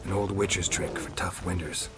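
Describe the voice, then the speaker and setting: An actor playing a seasoned monster hunter and fatherly mentor in a video game, gruff and laconic with dry understatement. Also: sits low, steady tone, moderate pace, over loud music, close to an everyday voice. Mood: wry, calm. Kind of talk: cinematic video game acting.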